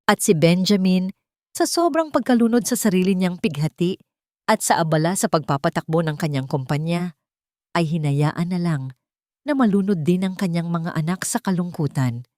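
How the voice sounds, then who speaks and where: Low-voiced woman storyteller. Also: moderate pace, a little mellow, no echo, studio quality. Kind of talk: scripted audio-drama narration.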